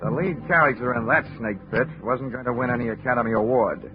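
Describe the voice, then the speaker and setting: A man's voice: mid-range voice, brisk, music in the background, natural voice. Hard-boiled male investigator, played by an actor: dry wit, takes charge, 1940s-style radio drama, muffled broadcast. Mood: wry narration. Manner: wry, deadpan, commanding. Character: wisecracking, unflappable male insurance investigator. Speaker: detective lead and narrator. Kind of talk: old-time radio detective acting, hard-boiled narration.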